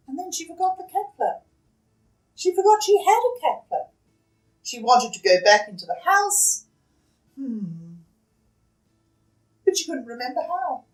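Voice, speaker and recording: A woman reading a children's picture book aloud as a storyteller, expressively, with dramatic shifts between hushed and loud delivery. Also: on the high side, distant mic. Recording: roomy echo, away from the mic.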